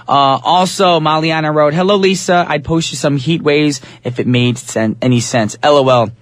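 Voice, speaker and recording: A young man with a husky voice, hosting a radio show in a casual, playful way from a studio mic. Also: a little high, fairly even intonation, normal speed, dry recording. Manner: easygoing, playful, says y'all.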